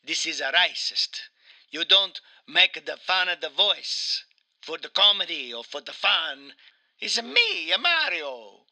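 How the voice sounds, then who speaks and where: A man's voice: on the high side, big pitch swings, unhurried, pushed and bright, heavily acted. A man voicing an aggrieved, self-pitying Italian video game plumber in broken English with a mock Italian accent, in an improvised comedy interview. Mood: indignant, wounded. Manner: broken English, whining indignation.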